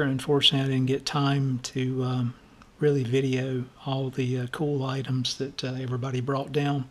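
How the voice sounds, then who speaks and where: A man with a scratchy, slightly soft voice from allergies chats in a casual show-and-tell style. His pitch stays level and even, and he fills his sentences with uh and um in a friendly, unhurried way. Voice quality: halting, many uh and um fillers. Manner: friendly, storytelling. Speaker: radio restoration channel host.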